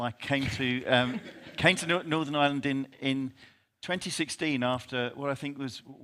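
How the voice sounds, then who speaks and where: A man introduces himself as a university vice-chancellor on a conference panel. He speaks quickly and fluently, restarting a phrase now and then. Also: medium pitch, fairly bright tone. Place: conference hall stage.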